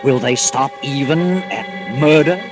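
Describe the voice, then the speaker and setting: A man's voice: mid-range voice, steady tone, unhurried, lightly acted. A man playing an ominous, brooding narrator in a 1940s radio mystery, speaking melodramatically. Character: ominous male narrator voicing inner suspicion. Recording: vintage 1940s radio recording. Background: music.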